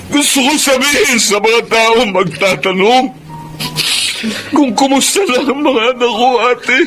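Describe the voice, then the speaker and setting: A man playing a middle-aged, loving but anguished father in an emotional radio drama, halting and repeating his words. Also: very high voice. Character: grieving Filipino father, loving, anguished, bitterly reproachful.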